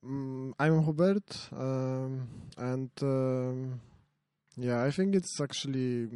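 A man's voice: medium pitch, slow pace, mellow, smooth, dry recording. A man from Poland introducing himself on a panel, hesitant and self-deprecating. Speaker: panel speaker.

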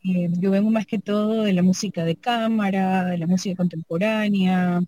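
A woman's voice: medium pitch, fairly even intonation, normal speed, mellow, no room sound. Reflective woman thinking aloud, dry sound. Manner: reflective, thinks aloud, frequent tag questions. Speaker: sound artist and double bass player. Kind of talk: casual conversation about her artistic work.

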